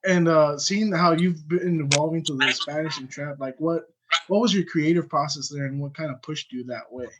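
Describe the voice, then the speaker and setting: A man's voice: fairly high pitch, moderate pace, low-quality recording. A man co-hosting a podcast, asking laid-back questions full of fillers. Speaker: podcast co-host. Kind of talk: casual podcast interview.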